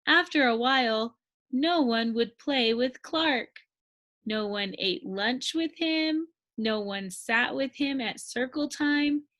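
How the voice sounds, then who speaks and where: A woman narrating a children's story expressively, with deliberate, emphatic phrasing. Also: sits high, expressive, fairly bright tone. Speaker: storybook reader.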